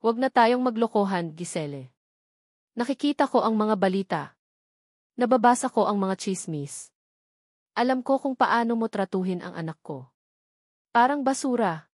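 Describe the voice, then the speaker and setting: A woman's voice: fairly high pitch, expressive, moderate pace, pauses often, no room sound, studio quality. A woman voicing a bitter, angry elderly mother who reproaches in defense of her son, in an audio drama. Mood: bitter, accusing. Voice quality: hard, trembling voice. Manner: accusing, reproachful.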